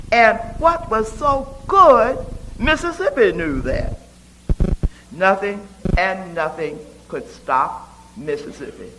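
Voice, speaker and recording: Slow, expressive man reading poetry. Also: high-pitched, poor audio. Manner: deliberate, expressive, with emphatic pauses.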